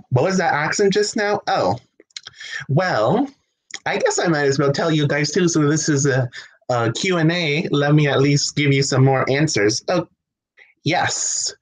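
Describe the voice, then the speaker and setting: A man's voice: on the high side, rough recording. A man from the United States hosting a casual livestream at home, answering viewer questions in a chatty way, often laughing and restarting his sentences. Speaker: livestream host.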